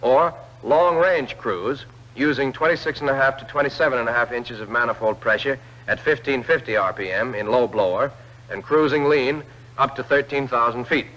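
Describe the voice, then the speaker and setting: A man narrates an old military training film in a bright, forceful voice of medium pitch. He gives clear, direct instructions in a scripted 1940s instructional style. The sound is a dull, lo-fi vintage film soundtrack. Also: normal speed.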